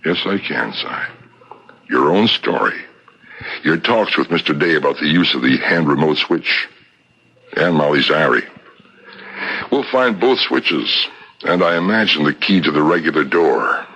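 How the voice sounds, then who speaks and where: Deep, forceful police detective, old radio mystery. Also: male voice, animated, measured pace, vocal fry, long pauses, breathy edge, exaggerated voice. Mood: triumphant, insistent. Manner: accusing, methodical, insistent. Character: shrewd, relentless male police detective laying out a murder case. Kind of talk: 1970s radio mystery drama acting. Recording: dull 1970s radio broadcast recording.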